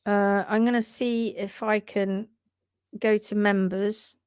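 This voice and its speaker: A woman hosting a live stream on hoof care, talking quickly and repeating herself, laughing often as she reads out viewer posts. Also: medium pitch, little pitch movement, a little mellow, clean tone, no echo, clean studio sound. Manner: rapid-fire, repeats words, chatty. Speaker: livestream host, hoof care teacher. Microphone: livestream setup.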